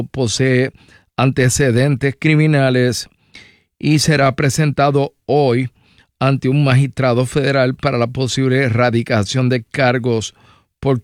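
Slow-talking Puerto Rican radio host, man. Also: mid-range voice, darkish tone, smooth, dry recording, clean studio sound. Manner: conversational, with rambling personal asides. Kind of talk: radio news and interview talk.

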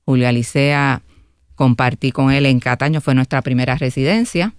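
A woman's voice: very deep voice, normal speed, clean tone, no room sound, clean studio sound. A woman in her fifties with a Puerto Rican accent, a candidate speaking earnestly in a radio interview, often adding a questioning filler. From Guaynabo, Puerto Rico. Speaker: political candidate and interview guest.

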